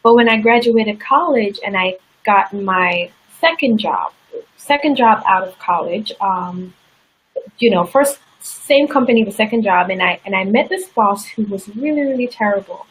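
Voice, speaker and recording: A woman's voice: mid-range voice, lively intonation, moderate pace, breathy edge, low-quality recording. A woman guest in an interview, telling a personal story in a candid, earnest way. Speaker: interview guest, success coach.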